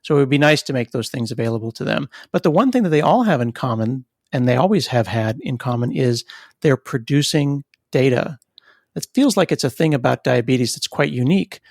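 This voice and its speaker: A middle-aged man hosting a podcast interview, talking in a relaxed, thoughtful way with occasional restarts. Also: medium pitch, a little fast, creaky, no room sound, clean studio sound. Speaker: podcast host. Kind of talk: conversational interview.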